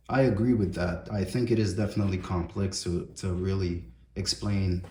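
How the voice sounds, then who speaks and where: A young adult man hosting a casual interview, relaxed and reflective, with many fillers. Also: low voice, echoey room, a little hiss or hum. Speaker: interview host, photographer.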